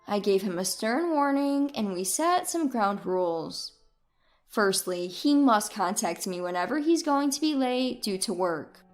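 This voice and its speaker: A woman's voice: medium pitch, animated, measured pace, faint music behind. A woman reading a scripted first-person story as its narrator.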